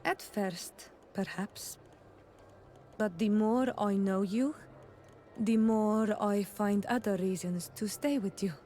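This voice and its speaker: An actress playing an earnest, gentle young island tribeswoman in a video game, speaking in measured, formal phrases. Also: medium pitch, a little hiss or hum, natural voice. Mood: gentle, warm. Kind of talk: video game romance dialogue acting.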